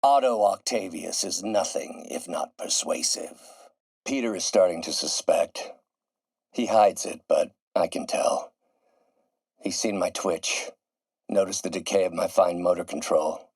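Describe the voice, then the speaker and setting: An actor voicing a grandiose, middle-aged scientist turning villain in a video game, theatrical and erudite, with precise enunciation. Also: male voice, sits low, measured pace, creaky, darkish tone, slightly rough, no echo, full character voice. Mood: coaxing, calculating, then anxious, weary. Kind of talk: video game voice acting, dramatic monologue.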